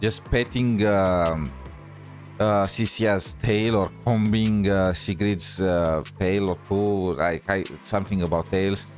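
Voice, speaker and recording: Low-voiced man commenting on gameplay. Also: steady tone, faint music behind. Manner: hesitant, halting, joking. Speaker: gaming streamer.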